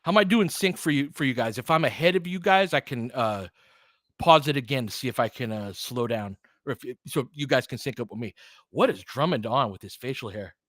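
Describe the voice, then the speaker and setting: A man's voice: mid-range voice, lively intonation, a little fast, a bit bright, no echo. Man calling basketball, close dry sound. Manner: informal, conversational, speaking to viewers directly. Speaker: livestream host and play-by-play commentator. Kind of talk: casual live basketball commentary mixed with chat to viewers.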